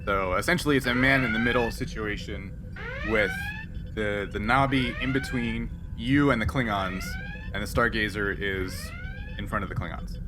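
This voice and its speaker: A man's voice: fairly low pitch, huge pitch swings, moderate pace, noisy background. A man acting as game master, casually narrating and working out rules aloud in a hesitant way.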